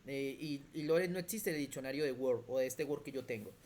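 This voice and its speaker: A computer skills teacher, explaining step by step in an online class and checking in often with quick questions. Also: medium pitch, darkish tone. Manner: thinks aloud with restarts while demonstrating on screen.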